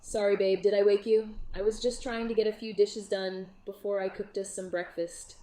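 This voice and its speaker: A woman's voice: mid-range voice, little pitch movement, normal speed, dark tone, natural voice. A woman playing a sweet, affectionate and breezily domestic dead wife in a horror audio drama. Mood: sweet, breezy.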